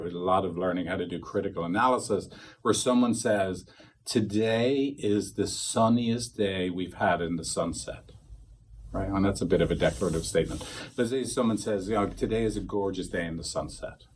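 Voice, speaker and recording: A man's voice: fairly low pitch, breathy edge. A man training couples therapists, talking casually to a small group, rambling and acting out quoted dialogue.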